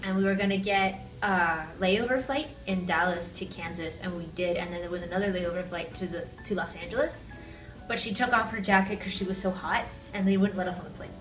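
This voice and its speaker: A young woman from Peru, a college student, giving candid conversational interview answers. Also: lowish voice. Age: young adult. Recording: roomy, distant mic, music underneath.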